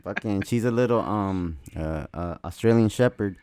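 A man's voice: lowish voice, moderate pace, smooth, no room sound, studio quality. Swearing, rambling male podcast host. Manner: profane, excitable, rambling. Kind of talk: casual chat.

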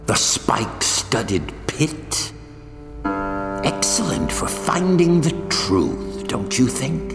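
A man's voice: medium pitch, wildly swooping pitch, extremely slow, creaky, over music, heavily acted. An actor playing a gloating, vengeful madman in an old-time radio thriller, with a menacing, theatrical delivery. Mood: gloating menace. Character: gloating vengeful madman villain, a fanatical torturer and murderer.

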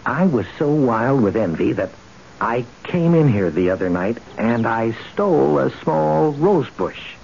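An actor playing a nervous, awestruck neighbour who stammers and halts, in an old radio drama. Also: male voice, mid-range voice, sing-song, vocal fry, a little mellow. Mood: sheepish confession. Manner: halting, stammering. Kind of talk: 1970s radio drama acting. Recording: dull, hissy old radio recording.

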